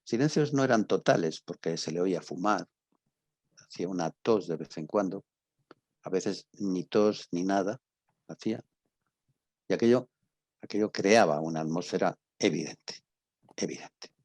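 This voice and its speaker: A middle-aged man with a Spanish accent teaches in a lowish voice, recorded close and fairly dry. He explains didactically, repeating phrases and leaving pauses around the words he wants to stress. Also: darkish tone, dry recording. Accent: Spanish from Spain. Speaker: university lecturer in radio drama. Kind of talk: informal lecture with examples.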